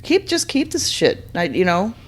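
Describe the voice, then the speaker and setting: A woman's voice: mid-range voice, huge pitch swings, moderate pace. A middle-aged woman, a mother guesting on her daughters' podcast, talking in casual, informal family chat.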